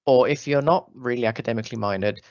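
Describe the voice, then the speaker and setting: A man's voice: medium pitch, fast, a little mellow, no room sound, lo-fi sound. A young man in his twenties with an Austrian German accent, giving an earnest, friendly talk to school students about his path as a doctoral student. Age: 20s. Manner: earnest, friendly, with fillers and restarts.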